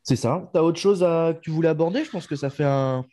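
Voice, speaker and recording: Male podcast host, laid-back conversational delivery, warm low timbre, casual interview. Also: a little fast. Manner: relaxed, chatty, trails off with "quoi".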